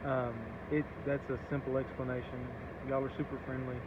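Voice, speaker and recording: A man's voice: medium pitch, level tone, brisk. A man working as a police officer, speaking politely and a little hesitantly in casual, reassuring explanation. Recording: muffled phone-like audio, loud vehicle noise.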